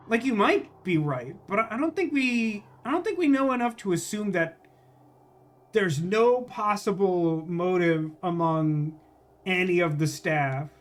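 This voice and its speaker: A game streamer chatting casually through a playthrough, thinking out loud with joking asides. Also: mid-range voice. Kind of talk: casual gameplay commentary, reading dialogue aloud.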